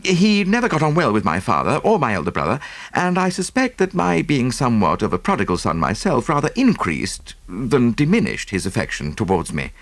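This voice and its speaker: An actor voicing a well-bred, self-deprecating English gentleman in an audiobook, with a courteous, hesitant, explanatory manner. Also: medium pitch, huge pitch swings, a little fast, no room sound. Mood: explaining. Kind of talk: audiobook character acting.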